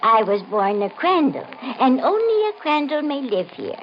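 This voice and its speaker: A woman's voice: high voice, sing-song, moderate pace. An actress playing an eerie, insistent woman obsessed with her house, pleading and ominous in a 1950s radio mystery style. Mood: eerie, intense. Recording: muffled, noisy 1950s radio recording.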